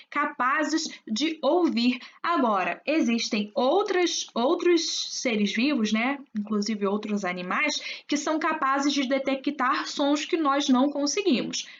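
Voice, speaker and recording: A woman teacher explaining a science lesson, talking straight to her students and checking they follow along. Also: high voice. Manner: explanatory, addresses students with frequent check-ins. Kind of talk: recorded class explanation. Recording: dull lo-fi recording.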